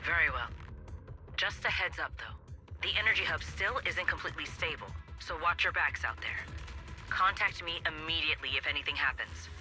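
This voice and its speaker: A voice actor playing a field operator giving a businesslike status report in a game. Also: male voice, fairly high pitch, expressive, poor audio. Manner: brisk, businesslike. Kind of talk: video game dub. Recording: game audio over loud music.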